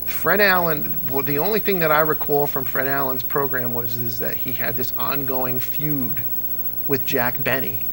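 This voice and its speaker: A man chatting casually as an interview guest, telling anecdotes in an animated way and acting out the dialogue. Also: medium pitch, moderate pace, creaky, faint music behind, poor audio. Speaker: interview guest, old-time radio fan. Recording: audible background noise and hum.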